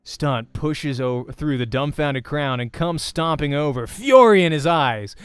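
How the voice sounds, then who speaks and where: A man's voice: a little high, expressive, unhurried, clean tone, no room sound. A man hosting a let's play, reading visual novel narration aloud expressively like a voice actor. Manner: acts out dialogue while narrating. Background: game music.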